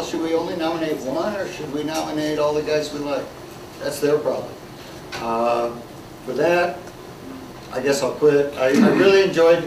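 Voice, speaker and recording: Plain-spoken man in an echoey room. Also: mid-range voice. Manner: plain-spoken, halting, with many fillers. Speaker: former candidate speaking at a symposium. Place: conference room. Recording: distant mic, echoey room, loud noise.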